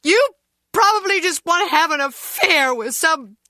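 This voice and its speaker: Demanding game passenger wife: high, sharp, theatrical. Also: female voice, animated, moderate pace, no echo, studio quality, full character voice. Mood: aggrieved. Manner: complaining, theatrical. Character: fretful, demanding wife, female train passenger. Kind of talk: scripted game dialogue.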